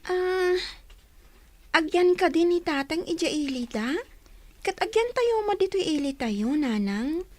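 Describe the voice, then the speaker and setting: A woman's voice: very high voice, expressive, takes it slowly, no echo, exaggerated voice. A woman with an Ilocano Filipino accent playing a curious young schoolchild in a comedy drama.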